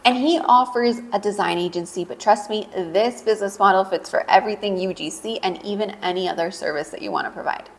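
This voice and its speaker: A woman's voice: a little high, a bit bright. Upbeat young woman giving business advice. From Colombia, raised in South Florida. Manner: upbeat, direct coaching. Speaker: UGC creator and vlogger. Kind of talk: casual advice vlog. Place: indoors by a sunny window.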